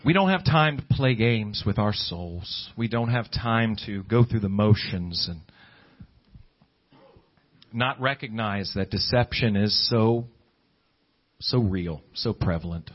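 A man preaching an evangelical sermon, exhorting earnestly with drawn-out emphatic phrases and repetition. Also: on the low side, lively intonation. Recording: dull lo-fi audio under loud guitar music. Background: loud plucked guitar music.

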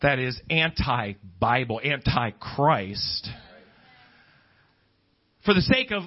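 A man's voice: mid-range voice, sing-song, takes it slowly, highs cut off. A man preaching a Pentecostal-style sermon to a congregation, speaking emphatically, repeating phrases and prompting listeners for agreement.

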